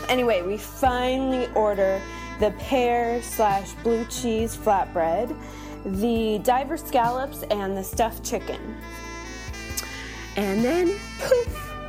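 A woman plays an exasperated young female online reviewer in a theatrical comedy monologue. Her high voice swoops with sardonic, melodramatic emphasis, and music plays clearly behind her. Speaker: performer giving a dramatic reading.

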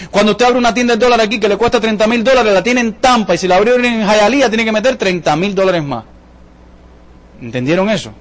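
A man with a Cuban accent giving an emphatic, colloquial motivational sales talk to an audience, driving points home with repetition and rhetorical questions. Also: high-pitched. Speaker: business seminar presenter, salesman.

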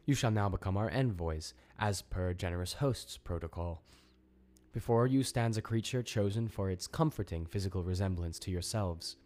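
A man voicing a disembodied alien hive intelligence, speaking in a slow, cold, formal and imperious way for a story. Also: low-pitched, darkish tone, natural voice.

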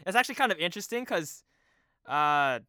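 A man's voice: high voice, animated, a little fast, sharp tone, clean tone, dry recording, clean studio sound. A young man chatting casually as a podcast guest, informal and self-deprecating. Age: young adult. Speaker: podcast guest, TV promo producer. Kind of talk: casual chat. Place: remote video call.

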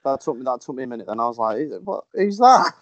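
Excitable man guessing. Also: medium pitch, fast, dry recording, dull audio. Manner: excitable, talks himself through guesses. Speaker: quiz contestant. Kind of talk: casual quiz game chat.

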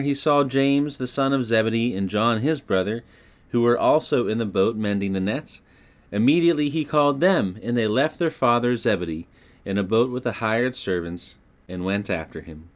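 Male narrator, medium pitch, scripture audiobook, expressive storytelling, scripted reading.

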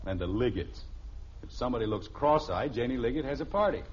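A man's voice: mid-range voice, expressive, fairly quick, natural voice. An actor playing a grumpy, stern middle-aged American father in an old-time radio sitcom. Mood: grumbling. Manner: stern, grumbling. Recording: muffled, noisy old radio recording.

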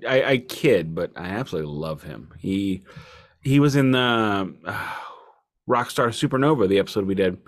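A man's voice: fairly low pitch, lively intonation, normal speed. A man co-hosting a podcast, chatting casually about music in an opinionated, rambling way with stammered repeats. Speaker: podcast co-host.

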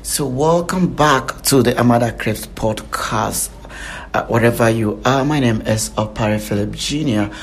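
A Ghanaian man hosting a podcast, talking casually with lots of fillers and pauses. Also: mid-range voice. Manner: halting, many fillers. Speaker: podcast host.

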